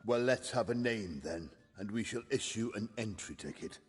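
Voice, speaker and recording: An actor playing an officious, snooty tournament registrar in a video game, formal and pompous. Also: male voice, lowish voice, expressive, moderate pace, breathy edge, long reverb tail. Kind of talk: video game dialogue acting. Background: background music.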